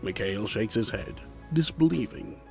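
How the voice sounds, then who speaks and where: A man narrating a scripted fantasy story in a measured, formal manner. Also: fairly low pitch, lively intonation, creaky, darkish tone, soft background music.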